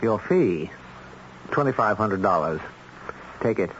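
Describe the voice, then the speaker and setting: A suave, coldly businesslike arranger of killings in a radio drama. He is courteous and measured, yet quietly in command. The sound is a dull old broadcast with a noisy background. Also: on the low side, expressive. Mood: smooth, businesslike. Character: smooth, polite, coldly businesslike man arranging contract killings.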